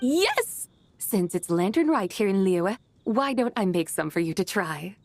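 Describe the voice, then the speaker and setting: A woman's voice: mid-range voice, very animated, a little slow, slight background noise. A woman voicing a gracious, wise ancient immortal in a video game, speaking formally and with poise. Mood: gracious, reminiscing. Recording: background music.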